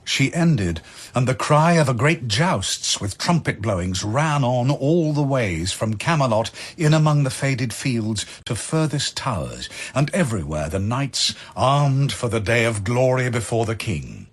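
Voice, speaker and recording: A man narrating archaic verse as a dramatic story, measured and declamatory. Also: mid-range voice, big pitch swings, slightly rough.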